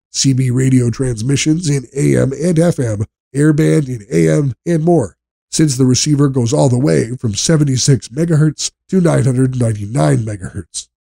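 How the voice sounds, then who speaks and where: A man reading a scripted product review as narrator, in a measured, polished and evenly enunciated way. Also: medium pitch, gravelly, mellow, no echo, clean studio sound.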